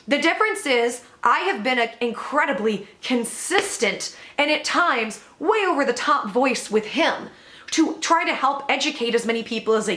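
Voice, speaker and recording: Woman vlogger, loud and blunt, swears freely, voice a bit worn; tense, higher-pitched delivery. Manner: blunt and profane, emphatic. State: voice worn from overuse. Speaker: commentary channel host. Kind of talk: vlog commentary update. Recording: echoey room.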